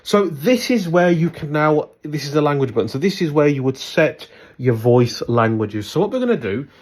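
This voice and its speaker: A man presenting a step-by-step product demonstration, instructing in a halting way as he thinks aloud. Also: on the high side, animated, a little mellow.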